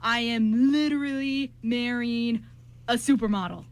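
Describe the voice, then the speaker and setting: A woman's voice: on the high side, a little slow. A woman playing an anxious, lovestruck young groom in an amateur radio play, stammering and repeating words. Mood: panicked. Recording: clearly noisy amateur recording with hum.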